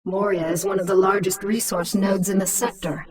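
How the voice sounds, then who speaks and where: A woman's voice: mid-range voice, flat delivery, moderate pace, distant mic, over a noisy crowd, lightly acted. An actress playing a cunning, commanding infested alien queen in a video game, sardonic and self-assured. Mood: scheming.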